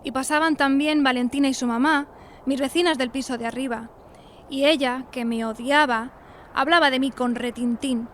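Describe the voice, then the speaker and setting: Actress as high-voiced melodramatic young single lady. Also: a little hiss or hum, close to an everyday voice. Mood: indignant. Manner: melodramatic, confiding, coquettish. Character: romantic, self-dramatising young single woman of the 1890s. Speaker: narrator and lead of a radio play. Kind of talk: theatrical first-person radio drama monologue.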